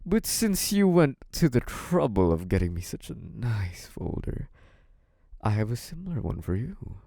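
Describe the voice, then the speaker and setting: A man's voice: medium pitch, sing-song, moderate pace, gravelly, mellow, no room sound, studio quality. A man playing a smug, menacing, possessive young mafia boss in an intimate audio roleplay, taunting in a theatrical, mock-sweet way. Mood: smug, cold. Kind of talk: scripted audio roleplay, intimate monologue to one listener.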